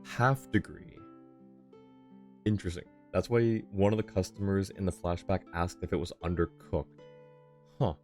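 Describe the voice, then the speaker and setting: A man's voice: low voice, moderate pace, long pauses, darkish tone, faint music behind. A man livestreaming a video game with casual commentary, in a roomy-sounding recording. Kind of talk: casual commentary while playing.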